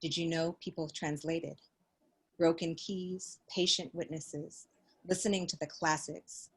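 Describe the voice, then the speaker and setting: Low breathy woman reading poetry. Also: fairly even intonation, measured pace, poor audio. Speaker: workshop participant. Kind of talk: reading her poetry aloud.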